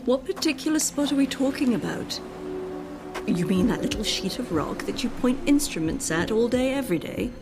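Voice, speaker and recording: An actress playing a fed-up, sarcastic middle-aged wife in a radio drama, speaking with dry skepticism. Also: female voice, fairly high pitch, lively intonation, moderate pace, over music, lightly acted. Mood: sarcastic, exasperated. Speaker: actor in an audio drama. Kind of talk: dramatized radio play acting.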